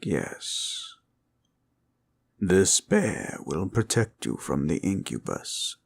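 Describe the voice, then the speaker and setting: A man playing a gentle, protective dragon in a comfort roleplay, speaking formally and tenderly in a solemn, gravelly voice. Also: wildly swooping pitch, drawn-out words, exaggerated voice. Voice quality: deep, gravelly. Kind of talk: roleplay comfort audio acting.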